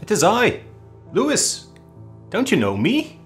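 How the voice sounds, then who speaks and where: A man's voice: high-pitched, huge pitch swings, takes it slowly, faint music behind, voice pushed up, full character voice. A man reading a story aloud, voicing a brash, hearty young male army officer who teases his cousin. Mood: startled, coaxing. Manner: hearty, teasing, breezy.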